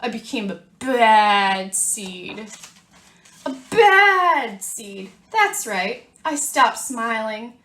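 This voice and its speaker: A brazen little seed from a children's storybook, acted out by a woman, confessing its badness with drama. The delivery is slow and drawn out, fairly high in pitch, in an echoey room. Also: very animated, pushed and bright, full character voice. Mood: brooding. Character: self-declared bad sunflower seed, defiant and dramatic, storybook.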